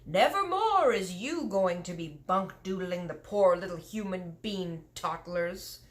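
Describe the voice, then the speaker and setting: A woman's voice: lowish voice, lively intonation, unhurried. A woman voicing a big friendly male giant who talks in muddled grammar and invented words. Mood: earnest, explaining.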